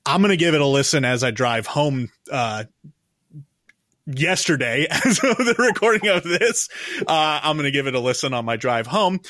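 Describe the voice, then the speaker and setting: Guy hosting a casual chat show, kinda high voice, really dry close sound. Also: lively intonation, normal speed, fairly bright tone, no echo. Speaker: show host.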